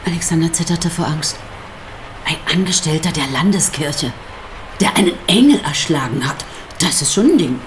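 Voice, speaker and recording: An actress playing a sardonic, defiant pastor's wife in a radio drama, speaking with provocative, theatrical emphasis. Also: female voice, sits low, expressive, normal speed, a little hiss or hum. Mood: wry, narrating. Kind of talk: German radio drama acting.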